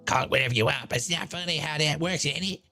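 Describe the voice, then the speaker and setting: A man's voice: fairly high pitch, quick pace, gravelly, pushed and bright, soft background music, heavily acted. A man voicing a brash, smug, chain-smoking woman in a dramatized monologue, sneering, profane and boastful. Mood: smug, defiant.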